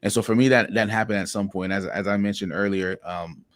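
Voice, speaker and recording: A man's voice: lowish voice, fairly even intonation, brisk, no echo. A man from Haiti, a podcast guest, tells personal stories in an earnest way, repeating words as he starts his sentences. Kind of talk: reflective personal storytelling.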